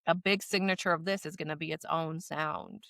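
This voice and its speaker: Bright-voiced American woman chatting on a podcast. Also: low-pitched, steady tone, moderate pace, gravelly, dry recording, clean studio sound. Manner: loose, hedging, frequent "like". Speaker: podcast co-host. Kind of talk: casual chat.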